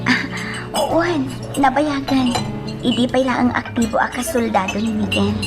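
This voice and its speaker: A woman playing a worried young working woman who confides haltingly in friends, in an Ilocano radio drama. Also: on the high side, over music, lightly acted. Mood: hesitant. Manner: hesitant, halting.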